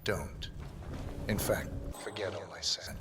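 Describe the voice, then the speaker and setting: An actor playing a wary, self-serving male tech designer in a video game cutscene, talking in a terse, smooth, guarded way. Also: sits low, slow pace, noisy background. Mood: wary, confessing.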